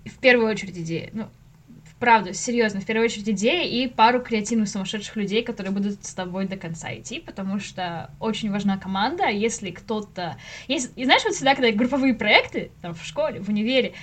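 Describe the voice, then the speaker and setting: A woman's voice: medium pitch, quick pace. A young woman, a podcast guest, explaining things in a casual, informal way and often thinking aloud with asides.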